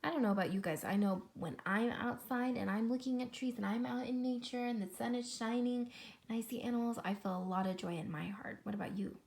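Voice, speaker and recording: A woman reading a story aloud to young children, expressive, with dramatic pauses, questions to the listeners and a breathy voice that often drops toward a whisper. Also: a little high, a little hiss or hum. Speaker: preschool teacher reading a picture book.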